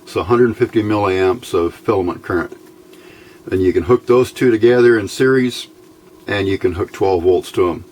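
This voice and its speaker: A man giving an informal hands-on electronics tutorial, talking haltingly as he thinks aloud. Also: sits low, darkish tone, a little hiss or hum. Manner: halting, thinking aloud while handling parts. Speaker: electronics hobbyist presenter.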